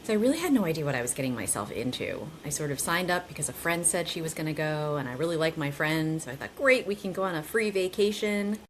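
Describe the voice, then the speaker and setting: A woman's voice: low-pitched, expressive, quick pace, hardly any pauses, a little hiss or hum, rough recording. A woman in her forties, a guest chatting casually and animatedly with rambling asides. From United States. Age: 40s. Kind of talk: casual conversation.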